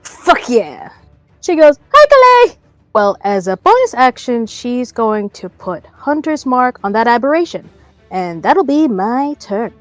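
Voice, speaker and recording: Very animated, higher-voiced woman gamer. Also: slow, dry recording, soft background music. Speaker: tabletop game player. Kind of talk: casual narration of a character's moves.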